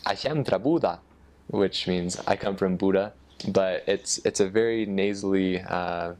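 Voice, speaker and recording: American male, deep register, informal explainer, somewhat halting delivery as he thinks aloud. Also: a little mellow. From United States. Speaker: former missionary explaining Norwegian dialects. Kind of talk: casual explanatory talk.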